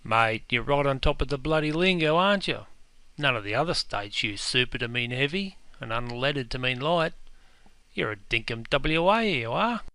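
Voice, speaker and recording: A man with an Australian accent playing a gruff, pedantic pub owner who scolds customers in broad slang, in a scripted comedy dialogue. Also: mid-range voice, expressive, normal speed, bright, forceful tone. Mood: scolding. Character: gruff, pedantic Aussie publican, quick to correct. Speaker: publican character.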